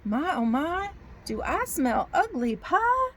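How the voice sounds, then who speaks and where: A woman voicing a hungry old male bear from a storybook, with a Southern accent and folksy, drawn-out sing-song phrasing. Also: extremely high pitch, sing-song, fairly bright tone, slight background noise, pitched above the performer's own voice, exaggerated voice. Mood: hopeful, curious.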